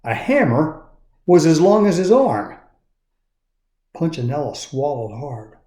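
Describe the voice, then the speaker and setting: An older man in his sixties reads a children's book aloud with an expressive delivery. His voice is mellow and rises and falls in animated swings. The recording has the echo of an indoor room. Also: on the high side, slow. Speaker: storyteller reading a children's book. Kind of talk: expressive read-aloud storytelling. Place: indoors in a woodworking shop. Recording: roomy, echoey sound.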